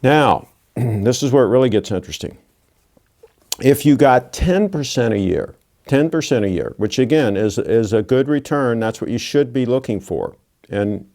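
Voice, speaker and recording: A man's voice: on the low side, warm tone. A man of sixty hosting his own channel, lecturing bluntly in a casual monologue with folksy asides. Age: 60s. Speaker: watch channel host.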